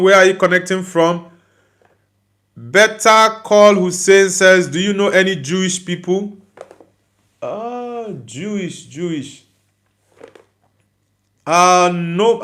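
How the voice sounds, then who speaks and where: A man's voice: high-pitched, fairly bright tone. A young Nigerian man hosting a livestream. He reads viewer comments aloud, answers each one in a friendly way, and keeps asking for likes and subscribes. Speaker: livestream host reading chat. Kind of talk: casual live chat.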